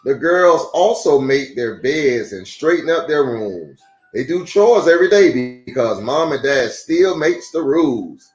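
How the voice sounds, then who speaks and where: A man reads a picture book to children in a lively, swooping voice of medium pitch. He talks straight to his young audience as a storytime host. The room gives his voice a noticeable echo, and music plays underneath. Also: unhurried. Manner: addresses young listeners directly with rhetorical questions and exclamations. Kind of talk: animated read-aloud storytelling for children.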